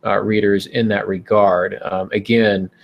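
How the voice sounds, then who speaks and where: Male podcast host, slightly low voice, measured and reassuring, feeling his way through casual advice. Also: flat delivery, gravelly, highs cut off, a little hiss or hum.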